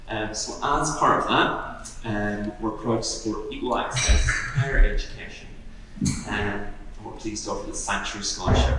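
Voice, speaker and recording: A man giving a relaxed, hesitant talk at an event, with many ums and self-deprecating asides. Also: lowish voice, noisy background. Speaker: university outreach speaker. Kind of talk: presentation at an event. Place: event room. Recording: echoey room, mic at a distance.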